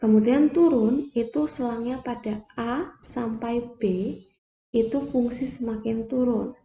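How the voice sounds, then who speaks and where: A young woman explaining a math lesson slowly, step by step, like a teacher at a board. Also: on the high side, steady tone, vocal fry. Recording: echoey room, dull lo-fi sound.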